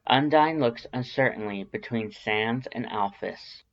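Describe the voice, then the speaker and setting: A man's voice: mid-range voice, measured pace, muffled recording. A man narrating scripted fiction in a dramatic storytelling style.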